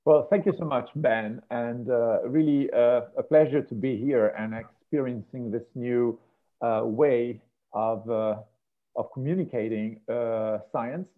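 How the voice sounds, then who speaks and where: A man's voice: mid-range voice, measured pace. A middle-aged man from Rome with an Italian accent, giving an online biology lecture from home, hesitant, with many fillers and restarts. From Italy, Rome. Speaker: marine biology professor. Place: home room with a piano. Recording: gravelly, tinny video call audio.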